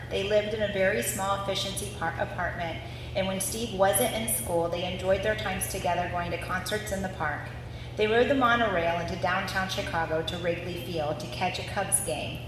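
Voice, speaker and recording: A woman in her forties from Ohio reads a scripted family story aloud at a live gathering. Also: fairly low pitch. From Ohio, United States. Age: 40s. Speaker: daughter reading family story at anniversary event. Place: large reverberant room at a live gathering. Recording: very echoey, distant mic, noisy.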